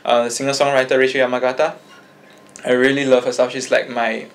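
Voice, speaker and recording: A man's voice: mid-range voice, little pitch movement, quick pace. A young man, a singer-songwriter guest chatting casually in an interview, easygoing and hesitant with many fillers and restarts.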